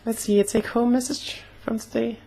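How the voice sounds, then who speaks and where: A young woman talking casually in a personal vlog, slightly hoarse, with an earnest, halting way of speaking. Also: fairly high pitch, fairly even intonation, dark tone. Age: young adult. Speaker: vlogger.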